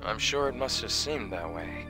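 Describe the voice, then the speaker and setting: Man playing a calm, low-voiced guide companion. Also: moderate pace, lightly acted. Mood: reflective. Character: calm, upbeat young male scout and guide companion. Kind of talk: video game cutscene voice acting. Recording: background music under the voice.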